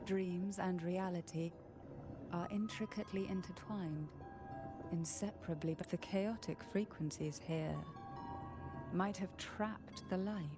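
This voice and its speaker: An actress playing a calm, mysterious woman companion in a fantasy video game, speaking in a measured, poetic, soothing way. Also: lowish voice, close to an everyday voice. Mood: serene, encouraging. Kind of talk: dramatic video game voice acting. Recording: game music behind the voice.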